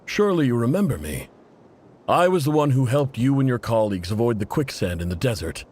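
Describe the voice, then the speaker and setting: An actor playing a middle-aged desert tribe chief and doting father, speaking with fatherly warmth in a game cutscene. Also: mid-range voice, very animated, a little fast, a little hiss or hum, natural voice. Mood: worried.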